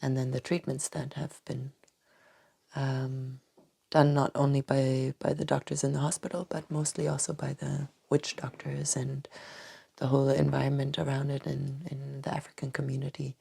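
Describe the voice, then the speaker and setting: A woman doctor from Tanzania answering interview questions thoughtfully, with many hesitant restarts and soft trailing phrases. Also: very deep voice, level tone, normal speed, gravelly, husky. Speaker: doctor and acupuncturist, podcast guest. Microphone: podcast microphone.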